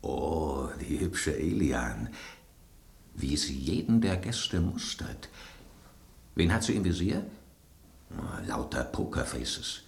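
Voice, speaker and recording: Theatrical, lively old bread loaf. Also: male voice, extremely low pitch, slow pace, creaky, pauses often, reverberant, exaggerated voice. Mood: watchful. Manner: theatrical, pointed, knowing. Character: aging bread loaf, male, worldly gourmet, suspicious, self-assured. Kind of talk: German radio drama acting.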